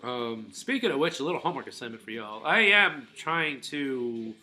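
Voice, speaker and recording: Bright-voiced rambling middle-aged man. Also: mid-range voice, animated, moderate pace, soft background music. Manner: rambling, loose, with filler words and restarts. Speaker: vlogger. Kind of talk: casual rambling monologue to viewers.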